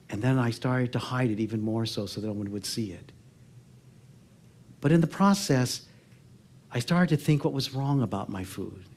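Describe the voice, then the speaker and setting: Reflective older American man telling stories on stage. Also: on the low side. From Oakland, California, United States. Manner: reflective, earnest, with pauses and soft phrase endings. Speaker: public speaker. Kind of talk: personal storytelling talk on stage. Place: theatre stage before a seated audience.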